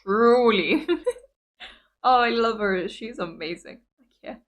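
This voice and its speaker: A young woman whose first language is Greek, hosting a reaction video in an excitable, chatty way full of fillers. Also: a little high, slow pace. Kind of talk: casual reaction chat.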